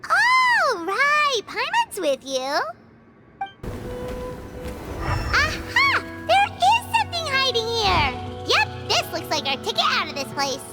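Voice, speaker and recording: A woman voicing a tiny excitable female fairy companion in an anime game, with a squeaky, childlike, perky delivery. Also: slow pace, heavily acted. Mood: eager, triumphant. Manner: perky, exclamatory, speaks of herself in third person. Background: game music.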